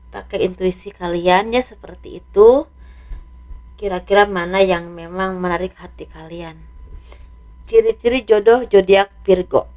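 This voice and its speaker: A slightly hoarse woman doing a casual tarot reading, speaking softly and letting her phrases trail off. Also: medium pitch. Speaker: tarot reader.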